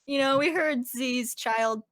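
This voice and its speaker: A woman's voice: sits high, slow pace, a bit bright, dry recording, clean studio sound. A woman who does amateur voice acting, telling rambling, giggly anecdotes in a casual group chat. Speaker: amateur voice actor in a comic dub.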